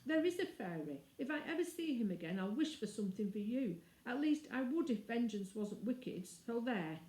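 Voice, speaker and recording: An earnest little girl from a storybook, voiced by a woman. She is indignant and defiant, stressing her words in a raised, high voice. The room can be heard around her. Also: sing-song, normal speed, warm tone, echoey room, rough recording. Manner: emphatic, defiant.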